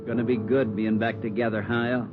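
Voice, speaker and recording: An American actor playing a cocky, menacing criminal with slow, folksy tough talk in a 1940s radio drama. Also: male voice, mid-range voice, flat delivery, natural voice. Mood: smug, easygoing. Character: cocky criminal brother threatening with a bomb. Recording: tinny band-limited vintage radio, loud music.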